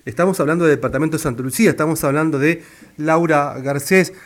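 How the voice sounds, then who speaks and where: A man's voice: fairly high pitch, fast. A man from Argentina, hosting a radio interview and talking with many fillers and restarts. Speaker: radio host.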